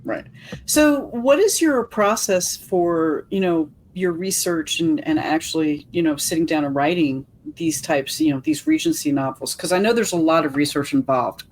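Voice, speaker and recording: A woman's voice: lowish voice, expressive, normal speed, a little hiss or hum, low-quality recording. A woman hosting a radio interview, friendly and encouraging, with many fillers as she asks questions. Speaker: radio show host.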